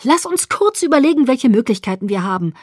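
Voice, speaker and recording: A woman's voice: very high voice, very animated, moderate pace, a bit bright, no room sound, studio quality, voice pushed up, exaggerated voice. A woman voicing an urgent, insistent young female vampire in an audiobook.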